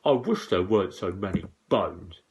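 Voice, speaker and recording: Man putting on a booming, grumpy man-eating giant. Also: expressive, moderate pace. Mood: grumpy muttering. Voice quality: big rumbling shouting voice. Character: huge, gross, vicious man-eating giant, loud and grumpy. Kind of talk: theatrical children's verse storytelling.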